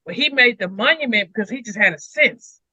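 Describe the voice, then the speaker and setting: A man's voice: sits high, animated, a little fast, sharp tone, husky, no echo, highs cut off. A man talking casually through scripture as a co-host, emphatic and repeating phrases for weight. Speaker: co-host of a Bible read-along discussion.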